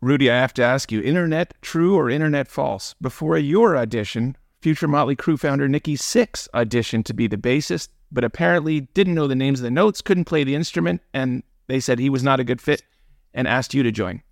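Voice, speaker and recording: A man's voice: mid-range voice, expressive, brisk, creaky, no echo, clean studio sound. A man hosting an interview, asking direct, prepared questions. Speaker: interviewer, host.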